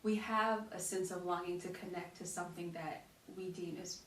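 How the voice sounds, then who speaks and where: An American woman guest with a somewhat low voice speaks earnestly and thoughtfully about her own experience. She sounds set back from the microphone in an echoey room, with faint hiss behind her. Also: steady tone, normal speed. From United States. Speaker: guest, beauty brand founder. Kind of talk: casual conversational interview. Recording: roomy echo, distant mic, faint hiss.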